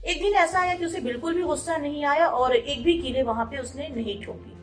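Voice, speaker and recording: A woman's voice: high voice, a little fast, faint music behind. A woman motivational speaker telling a moral story in a conversational way, mixing English words into her Hindi, with hesitations.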